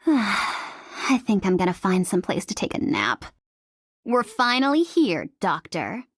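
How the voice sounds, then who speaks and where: A woman's voice: medium pitch, dry recording, studio quality, natural voice. A woman voicing a cocky, bloodthirsty female mercenary in a video game, with a sarcastic, teasing and playfully menacing delivery. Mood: wry. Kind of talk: video game character voice lines.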